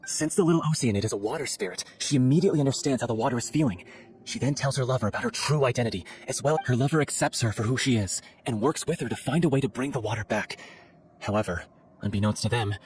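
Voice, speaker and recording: An actor playing an excitable, earnest theatre scriptwriter in a video game, wordy and given to telling stories. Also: male voice, mid-range voice, slight background noise, natural voice, rough recording.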